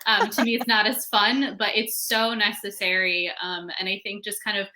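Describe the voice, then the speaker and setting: A woman's voice: mid-range voice, little pitch movement, normal speed, background noise. A woman speaking casually as a panelist, informal and peppering her sentences with "like". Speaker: panelist, fiction podcast creator.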